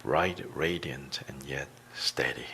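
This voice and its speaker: A man teaching a guided meditation, speaking deliberately in short phrases with long pauses between them. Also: a bass voice, bright, forceful tone, a little hiss or hum.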